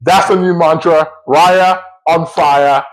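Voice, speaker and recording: A man co-hosting a football podcast, talking in an animated, casual way and often cutting across his co-host. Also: fairly high pitch, slow pace.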